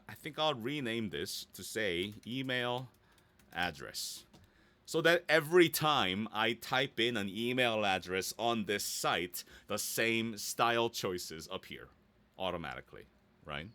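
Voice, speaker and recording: A man giving a casual, easygoing software tutorial, thinking aloud and muttering small asides as he works. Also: mid-range voice, animated, a little slow, dry recording. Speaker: voice actor giving a website-building tutorial.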